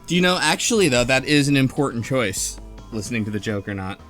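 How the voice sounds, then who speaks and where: A man's voice: mid-range voice, very animated, quick pace, a bit bright, faint music behind. A man hosting a casual group discussion, explaining things in an easily amused, conversational way. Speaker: podcast host.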